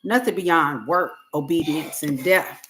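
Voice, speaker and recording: A woman reading a self-help book aloud on a livestream, in deliberate, halting phrases with pauses. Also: low voice.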